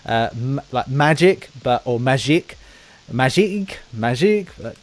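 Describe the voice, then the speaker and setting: An Englishman hosting a casual podcast interview for a gaming channel, with halting, rambling explanations. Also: mid-range voice, expressive. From England.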